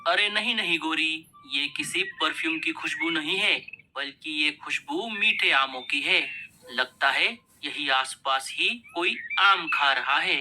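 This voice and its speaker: A woman's voice: sits low, unhurried, over music. A woman voicing a lazy, grumpy male bird in a children's cartoon, with a dismissive drawl. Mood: knowing. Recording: noticeable background noise.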